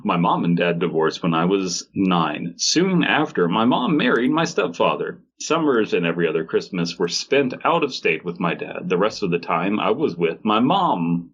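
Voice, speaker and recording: A man's voice: sits low, big pitch swings, normal speed, hardly any pauses, fairly bright tone, husky. A man co-hosting a comedy podcast, riffing casually and hesitantly, with joking mock quotes and bits of reading. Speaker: podcast co-host. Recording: dull lo-fi microphone sound.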